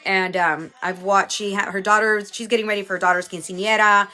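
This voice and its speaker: Woman, stuffy from a cold, bright voice, chatty livestream host, faint music behind. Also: medium pitch, steady tone, brisk. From Houston, Texas. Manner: chatty, greets viewers by name. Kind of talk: casual livestream chat.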